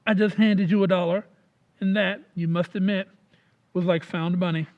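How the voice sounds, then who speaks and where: A man's voice: high-pitched, fairly even intonation, dull audio, close to an everyday voice. A man acting a pompous, stingy school principal in old-time radio comedy, officious and blustering. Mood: pompous, smug. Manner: pompous, drawn-out, officious delivery.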